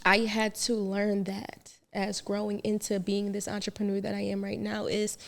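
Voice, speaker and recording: A woman in her thirties from Trinidad, a business founder talking as a podcast guest in a relaxed, candid way and laughing easily. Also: mid-range voice, moderate pace, gravelly. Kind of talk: casual podcast interview.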